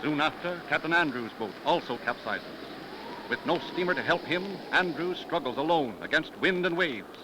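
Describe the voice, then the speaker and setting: A man's voice: a little high, lively intonation, normal speed, noisy background. A man narrating in the theatrical, emphatic style of a 1930s radio drama. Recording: old 1930s radio broadcast, music behind. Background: orchestral dramatic music.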